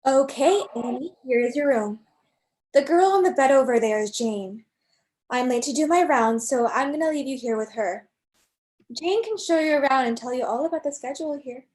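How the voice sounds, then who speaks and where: A woman playing a brisk, hurried nurse in a staged play reading, speaking in a businesslike way. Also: high voice, expressive, darkish tone, poor audio.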